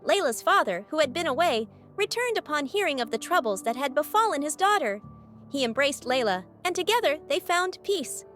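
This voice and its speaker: A woman's voice: sits high, very animated, moderate pace, a bit bright, faint music behind. A woman narrating a scripted fairy tale as a storyteller.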